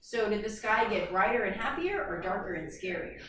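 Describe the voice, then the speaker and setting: Echoey, distant woman teacher with animated delivery. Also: medium pitch, a little fast. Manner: expressive storytelling, asks questions, shushes and redirects. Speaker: primary school teacher. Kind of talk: interactive read-aloud lesson for young children. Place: echoey classroom. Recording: very echoey room, distant mic, background noise.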